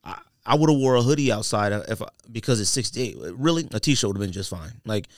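Animated man in slangy podcast banter. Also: lowish voice, a little fast, dry recording, clean studio sound. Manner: slangy, emphatic, repeats phrases in argument. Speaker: podcast host. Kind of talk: casual sneaker podcast banter.

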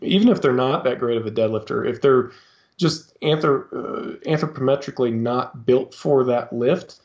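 A man talking as a guest on a podcast, explaining his coaching in a halting way with lots of fillers and restarts. His voice sits in a middle register, and the recording sounds a little dull and lo-fi. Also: medium pitch, darkish tone. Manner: hesitant, many fillers and restarts. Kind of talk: casual interview talk.

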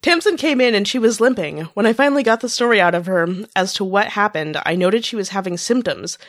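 A woman voicing a brisk, defensive female doctor in a fan fiction reading, insistent and clipped. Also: medium pitch, lively intonation, no echo, studio quality, pitched below the performer's own voice, natural voice. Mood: earnest explaining.

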